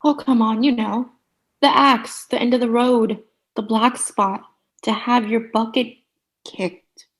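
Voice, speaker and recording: A woman's voice: a little high, steady tone, takes it slowly. A woman playing a relentlessly cheery, bossy sitcom character in a comedic radio play, theatrical, gushing and condescending. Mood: ominous teasing.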